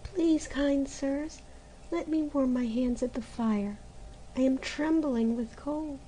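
A woman voicing a gentle, mistreated young girl who speaks softly and pleads timidly. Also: sits high, steady tone, moderate pace, a little hiss or hum, voice pushed up, close to an everyday voice, rough recording. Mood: pleading.

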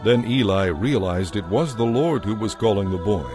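A man narrating a dramatized audio Bible as a scripted story, measured and solemn. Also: fairly low pitch, lively intonation, warm tone, faint music behind.

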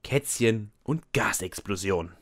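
A man with a fairly deep but bright, forward voice narrates a comic audio drama in the first person. He sounds sarcastic and resigned, recorded dry and close. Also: animated, slow pace, dry recording.

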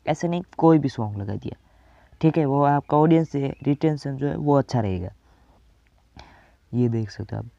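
A man's voice: fairly high pitch, creaky, dark tone, no room sound, highs cut off. A teenage male from India giving a casual step-by-step tutorial, addressing viewers as friends and often checking they follow. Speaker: tutorial presenter.

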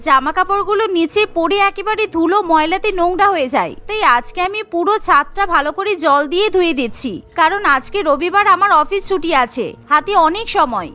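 A woman's voice: very high voice, expressive, brisk, few pauses, bright, forceful tone, exaggerated voice. A woman voicing a busy, chore-obsessed young housewife in a cartoon, fussy, chatty and insistent. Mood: busy, cheerful. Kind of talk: cartoon dialogue.

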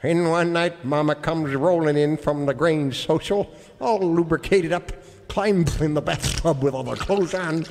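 A man's voice: fairly high pitch, a little mellow. An actor playing a folksy, grumbling old husband telling his story in a radio comedy. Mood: aggrieved. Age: older. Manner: rustic, drawn-out storytelling, grumbling. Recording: reverberant hall with faint noise.

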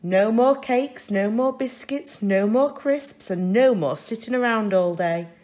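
A woman's voice: a little high, unhurried, a little mellow, pitched above the performer's own voice, lightly acted. Woman voicing a bossy, emphatic mother elephant. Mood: determined. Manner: stern, emphatic. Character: bossy, firm mother elephant, fussy about healthy living. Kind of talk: children's storybook character voice.

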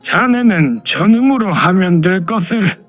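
A dignified old elder of a martial demonic cult, acted by a man, speaks with old-fashioned authority in a high, unhurried voice. The sound is thin and phone-like, with music underneath, as in an audio drama. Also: lively intonation. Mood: grave, measured. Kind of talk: Korean martial-arts audio drama acting.

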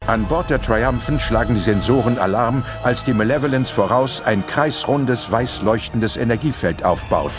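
A man's voice: mid-range voice, normal speed, few pauses, loud music behind the voice. A man reading scripted narration for an audio drama.

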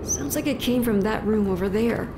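A woman's voice: a little high, moderate pace, noisy background, lightly acted. Woman as a tough, curt policewoman. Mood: wary, terse. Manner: terse, commanding, no-nonsense. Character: tough, curt veteran policewoman, impatient with rookies. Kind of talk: audio drama acting.

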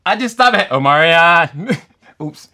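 Young man, bright, animated, playful game-show contestant, studio. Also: fairly high pitch, slow. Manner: playful, sings along, animated. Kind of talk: casual game show banter. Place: studio set.

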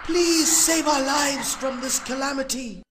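A man voicing a gullible, anxious little fish, beseeching, in a children's animated story. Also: very high voice, unhurried, background noise, pitched above the performer's own voice. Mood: worried. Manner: worried, beseeching.

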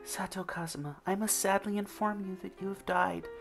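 A man voicing a gentle, soft-spoken young female goddess, hushed and kindly. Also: high-pitched, fairly even intonation, normal speed, gravelly, soft background music, pitched above the performer's own voice. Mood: gentle, consoling. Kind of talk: fan reading of a story.

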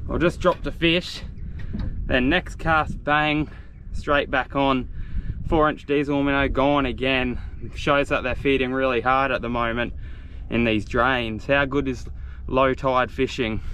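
A man's voice: medium pitch, steady tone, measured pace. A man in his thirties with an Australian accent, filming himself on a boat and showing fishing techniques in an upbeat, instructive way. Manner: enthusiastic, instructive. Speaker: fishing vlogger. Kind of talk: fishing tutorial. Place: small boat on open water outdoors. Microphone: action camera. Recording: loud wind and water noise.

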